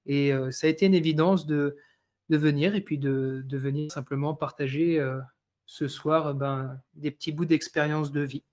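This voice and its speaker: A man in his thirties from France, a guest sharing his life story in a live online talk, speaking with many pauses and restarts. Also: fairly high pitch, steady tone, darkish tone. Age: 30s. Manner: hesitant, many fillers and restarts.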